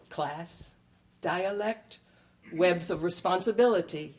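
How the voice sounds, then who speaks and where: A woman reading her own writing aloud at a live event, with measured, expressive delivery. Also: mid-range voice. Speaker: writer and performer in an ensemble. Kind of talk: live poetry reading with spoken introduction. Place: public library event before an audience.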